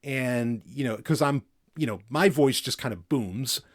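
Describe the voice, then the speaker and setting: A man at an ordinary middle pitch hosts a podcast from a home setup, speaking casually into a studio mic on an arm. He rambles along with plenty of fillers and restarts. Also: a little slow. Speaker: podcast host.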